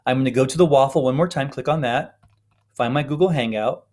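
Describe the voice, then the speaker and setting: A man's voice: medium pitch, a little fast, a little mellow. A man giving an informal, step-by-step tutorial narration as an instructor. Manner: explanatory, step-by-step.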